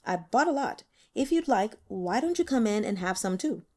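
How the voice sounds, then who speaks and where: Woman reading as a courteous, gentle male teacher. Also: medium pitch, very animated, moderate pace. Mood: friendly, coaxing. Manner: courteous, softly apologetic small talk. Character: polite, gentle male university teacher, considerate and scholarly.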